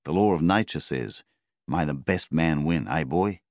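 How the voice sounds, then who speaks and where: A man voicing a gruff, hungover middle-aged bush farmer who talks bluntly and coaxes his dog. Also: very deep voice, animated, a little slow, a little mellow, no echo, clean studio sound, exaggerated voice. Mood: musing.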